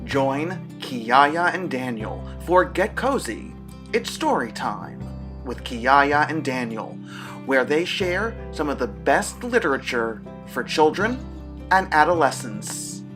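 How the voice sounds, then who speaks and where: A man hosting a children's storytime, reading aloud with deliberate, theatrical emphasis. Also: on the high side, very animated, music in the background. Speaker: storytime host and reader. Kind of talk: expressive read-aloud narration for children. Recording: echoey room with faint noise.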